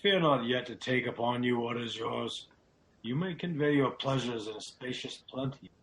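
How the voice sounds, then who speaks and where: A man playing a loyal, despairing nobleman in a Shakespearean radio drama, speaking in a solemn, declamatory way with a gravelly voice. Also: mid-range voice, normal speed, a bit bright, natural voice, rough recording. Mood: grave, reasoning. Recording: clearly audible background noise.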